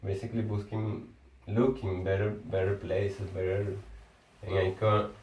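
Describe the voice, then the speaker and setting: A man's voice: lowish voice, level tone, a little mellow, slight background noise. Venezuelan man chatting, far off and echoey. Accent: Venezuelan Spanish. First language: Spanish. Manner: halting, restarts words, searches for English phrasing. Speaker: engineer. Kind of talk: casual conversation. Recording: echoey room, far from the mic.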